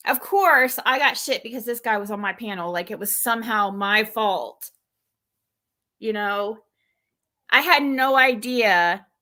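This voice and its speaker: Bright-voiced woman streamer chatting. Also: on the high side, animated, unhurried. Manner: rambling, self-correcting, chatty. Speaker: livestream host. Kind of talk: casual livestream commentary.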